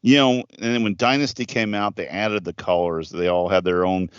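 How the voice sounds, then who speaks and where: A man in his fifties with a fairly low voice talks casually on a podcast, rambling and cursing freely. The sound is dry and close, with a dull, lo-fi tone. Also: steady tone, brisk, smooth, no room sound. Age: 50s. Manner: rambling, profane, frequent restarts. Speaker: podcast co-host. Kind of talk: casual chat.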